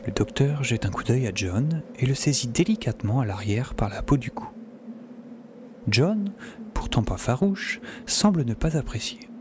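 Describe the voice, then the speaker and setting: An actor playing a wry scientist who narrates his own adventure in the first person, in a measured, confiding way. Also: medium pitch, expressive, background noise, close to an everyday voice. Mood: watchful, descriptive.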